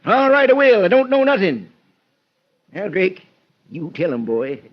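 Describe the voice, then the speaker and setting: An actor playing a crafty, teasing old country constable with a folksy rural American drawl in a radio mystery. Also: male voice, high voice, sing-song, measured pace, exaggerated voice. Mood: teasing, feisty. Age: older. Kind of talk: 1940s radio mystery acting. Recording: dull vintage radio recording.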